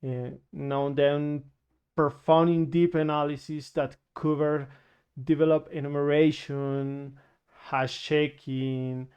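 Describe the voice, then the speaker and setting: Slow, flat-voiced Colombian man presenting. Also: on the high side, clean tone, dull audio. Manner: halting, many fillers and restarts. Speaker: security researcher. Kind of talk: conference presentation.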